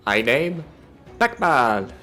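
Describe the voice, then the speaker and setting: A man's voice: medium pitch, very animated, drawn-out words, bright, forceful tone. A man reading a scripted video game review as a voice-over. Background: music.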